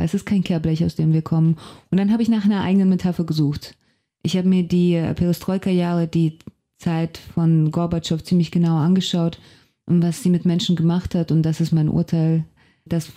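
A woman's voice: sits low, mellow. A woman whose first language is Russian, a novelist and translator, speaking as a guest in a radio studio interview and thinking aloud with care as she searches for words. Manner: thoughtful, reflective, searching for words.

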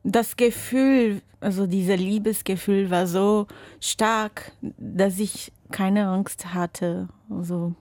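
Slow, laughing young woman. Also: mid-range voice, steady tone, darkish tone, dry recording. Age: young adult. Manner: searching non-native phrasing, laughs often. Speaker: interview guest. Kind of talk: casual chat. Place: radio studio.

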